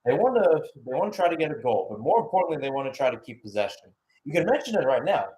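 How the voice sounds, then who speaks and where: A man's voice: fairly high pitch, big pitch swings, quick pace, warm tone, a little hiss or hum, poor audio. A man giving live play-by-play commentary on a soccer match, conversational between calls with asides and statistics.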